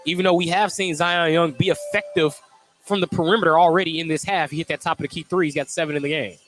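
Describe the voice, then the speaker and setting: A man's voice: a little high, steady tone, fast talker, fairly bright tone. A man calling a live basketball game as the radio play-by-play announcer, describing the action in clipped running phrases.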